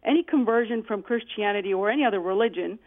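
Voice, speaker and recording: A woman's voice: mid-range voice, quick pace. A middle-aged woman from Iraq calling in to a radio show, speaking earnestly and hesitantly with many fillers. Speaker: caller. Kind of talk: call-in radio talk. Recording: tinny phone line.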